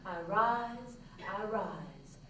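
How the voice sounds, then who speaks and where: A woman's voice: medium pitch, expressive, drawn-out words, fairly bright tone. A woman reciting a poem on stage in a large hall. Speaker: reader in a staged dramatic reading. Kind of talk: poetry recitation. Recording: very echoey hall, distant, faint noise.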